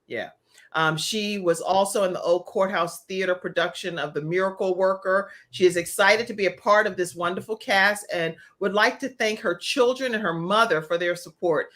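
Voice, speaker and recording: A woman's voice: medium pitch, moderate pace, a bit bright. An American woman hosting a live online talk show, chatting in an effusive, encouraging way and addressing viewers by name.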